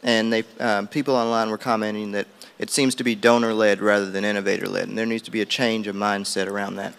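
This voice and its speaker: A man's voice: lowish voice, level tone, fast, gravelly, fairly bright tone, smooth. A man reporting back at a roundtable, informally summarizing discussion points.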